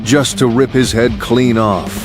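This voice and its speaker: A man's voice: medium pitch, steady tone, a little slow. A man delivering scripted, comedic story narration. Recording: music behind the voice.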